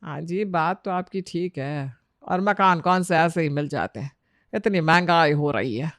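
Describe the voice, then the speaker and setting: A woman's voice: sits low, measured pace, no echo. A woman acts out a middle-aged Indian mother-in-law in a family storybook tale. She is fussy and worried, chiding and emphatic in every phrase. The recording is close and dry. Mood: resigned.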